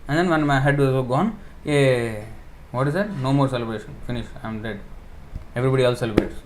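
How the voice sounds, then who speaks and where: A man at a mid pitch delivers a relaxed sermon and builds each point from simple everyday pictures. He breaks off with fillers and corrects himself as he goes. A faint ambient hum sits under the recording. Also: fairly quick. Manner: explains with homely examples, stops to correct himself, thinks out loud. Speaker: preacher giving a scripture class. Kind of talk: informal sermon.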